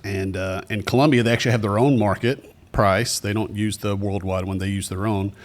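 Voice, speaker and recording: A man with a fairly low voice and a Southern US accent gives an informal talk to a live audience. He hosts in a conversational way and explains things as he goes. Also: steady tone, brisk. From Tennessee, USA. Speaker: podcast host. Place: cafe, live event.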